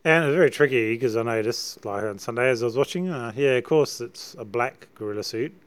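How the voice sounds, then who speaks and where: Senior pastor, easygoing co-host, mid-range voice, dry humour. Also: male voice, medium pitch, fairly quick, clear, resonant tone. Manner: relaxed, brief replies, joking. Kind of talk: casual chat.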